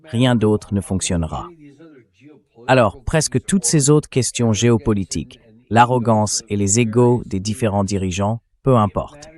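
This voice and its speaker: A man's voice: fairly low pitch, measured pace, darkish tone. A man's synthetic AI voice dubbing an interview host in a translated political interview.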